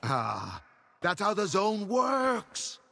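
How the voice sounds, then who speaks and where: A scheming, condescending game villain with a theatrical air. The voice swings widely in pitch, very slow and rather husky, ringing in a reverberant hall. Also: male voice, huge pitch swings, sharp tone, exaggerated voice. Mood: calm, menacing. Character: smooth-tongued scheming male villain, cold and philosophical. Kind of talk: video game cutscene acting.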